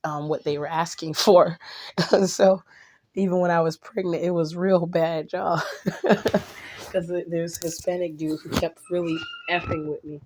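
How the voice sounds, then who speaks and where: Woman, hoarse from a cold, slightly raspy, flat monotone, rambling, blunt. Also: lowish voice, measured pace. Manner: rambling, with restarts and asides; blunt and outspoken. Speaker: vlogger. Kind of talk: casual monologue to viewers.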